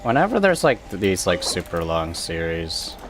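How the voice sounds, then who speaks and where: Low-voiced man chatting on a gaming stream. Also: very animated, moderate pace, noisy background. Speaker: livestreamer, gamer. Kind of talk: casual livestream gaming chat. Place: indoors at a computer.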